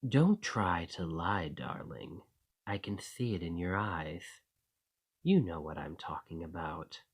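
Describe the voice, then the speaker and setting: Male actor as possessive monster shapeshifter for ASMR roleplay: lowish voice, very unhurried, coaxing with quiet menace. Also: lively intonation, slightly rough, exaggerated voice. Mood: insinuating, coaxing. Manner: coaxing, deliberate, softly menacing. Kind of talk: ASMR roleplay, intimate dramatic monologue.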